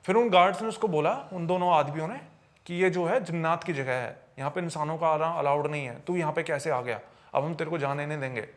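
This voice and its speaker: A man's voice: on the high side, brisk. A man telling paranormal tales in a conversational podcast style, often repeating and rephrasing phrases for emphasis. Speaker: podcast storyteller.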